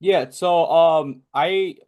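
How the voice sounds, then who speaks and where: A man's voice: on the high side, extremely slow, a little mellow. A young man from Bangladesh, a podcast guest in casual chat, rambling with many fillers and restarts. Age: young adult.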